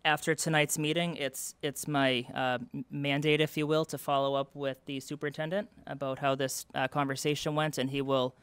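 A man's voice: a little high, little pitch movement, normal speed, hardly any pauses, a bit bright, smooth, no room sound. A young man presenting to a town board at a public meeting, earnest and explanatory, with many fillers and restarts. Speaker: event committee representative. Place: town hall meeting room.

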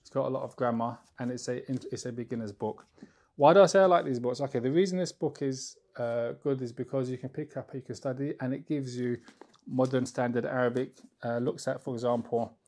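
Brief: thirties male teacher, relaxed explanatory delivery, lapel mic, small study room. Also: medium pitch, fairly quick. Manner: conversational, explanatory, frequent restarts and fillers; seated, gesturing and holding up books. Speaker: Arabic teacher. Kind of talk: informal book walkthrough.

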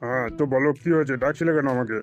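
Rapid-talking cartoon grandpa, acted by a man. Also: medium pitch, highs cut off, faint music behind, lightly acted. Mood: puzzled, curious. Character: easily offended, chatty elderly Bengali grandfather. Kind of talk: comedy cartoon dialogue.

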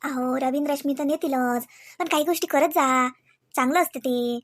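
A woman's voice: sits high, steady tone, moderate pace, no echo, natural voice. A woman voicing a nagging, devout young mother in a comedy cartoon, speaking colloquial rural Marathi with a Vidarbha accent in a persuasive way. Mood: insistent.

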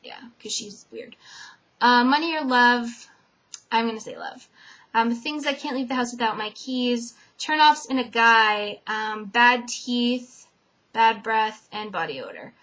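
A young woman with a fairly high voice rambles through a list of personal questions, filling gaps with "um" and letting her sentences trail off quietly. She is from Connecticut and talks casually into a webcam in a dim bedroom. The audio sounds muffled and lo-fi. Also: measured pace. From Connecticut, USA. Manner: chatty, many "um" fillers, trailing off softly at sentence ends. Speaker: vlogger. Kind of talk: casual tag-video chat.

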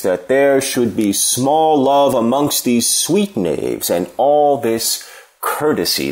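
An actor playing a churlish cynic philosopher in a Shakespeare reading, answering with biting contempt. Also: male voice, medium pitch. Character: Apemantus, scornful churlish cynic philosopher, male. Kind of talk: unrehearsed Shakespeare play reading.

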